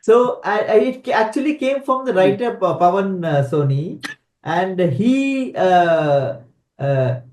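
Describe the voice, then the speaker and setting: A man's voice: high voice, animated, a little mellow, reverberant. A man, a film director, explaining at length with many restarts and fillers on a panel. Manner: rambling, halting, mixing languages. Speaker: director and writer. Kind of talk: panel interview.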